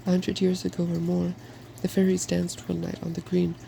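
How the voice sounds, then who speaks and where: A narrator voices a weary storybook hippogriff with a solemn, timid temper. The voice is soft-spoken, heavy with fry and very level in pitch. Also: monotone, normal speed, a little hiss or hum. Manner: solemn, reminiscing.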